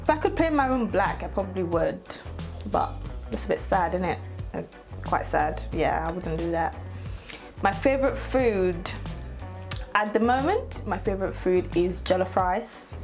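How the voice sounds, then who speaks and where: A woman's voice: on the low side, expressive, measured pace, soft background music. A woman, talking casually to her camera and laughing often as she chats. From Nigeria. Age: 20s. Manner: chatty, laughs often, playful asides. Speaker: YouTuber. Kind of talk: casual get-to-know-me vlog.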